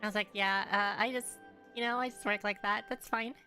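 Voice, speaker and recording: A woman's voice: medium pitch, steady tone, a little fast, sharp tone, faint music behind. A young woman live streaming a game from her room, chatting offhandedly and rambling as she answers viewers. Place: room at home.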